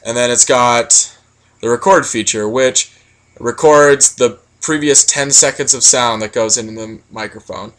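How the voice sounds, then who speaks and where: A man's voice: medium pitch, a little slow, a bit bright, slight background noise, rough recording. Casual man giving a product critique. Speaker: product reviewer.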